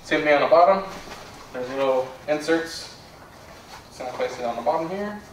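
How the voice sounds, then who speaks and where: A young man giving a casual product demonstration in a plainspoken, low-key way, recorded indoors on a distant camera mic. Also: normal speed. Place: bare indoor room with carpet. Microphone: far camera. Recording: roomy echo, distant mic, background noise.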